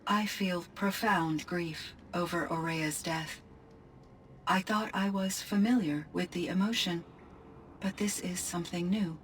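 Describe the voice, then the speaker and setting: Woman playing a calm, low-voiced female AI. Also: a little hiss or hum, natural voice. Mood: sorrowful. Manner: measured, formal, composed. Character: calm female artificial intelligence, gentle, thoughtful, grieving. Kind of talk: scripted video game dialogue. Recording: music behind the voice.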